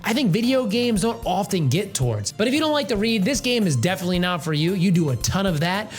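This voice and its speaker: A man hosting a casual video game review, talking conversationally with ad-libbed asides and punchy exclamations. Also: high-pitched.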